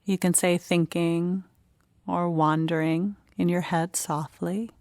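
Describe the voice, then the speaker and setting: A woman leading a guided meditation, speaking in short, gentle phrases with unhurried pauses. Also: lowish voice, little pitch movement, no room sound, clean studio sound.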